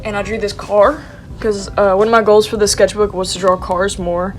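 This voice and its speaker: A woman's voice: medium pitch, little pitch movement, normal speed. A young woman in her twenties, sounding congested and sniffly, chatting casually and playfully with lots of slang while showing her sketchbook. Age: young adult. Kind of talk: casual vlog chat.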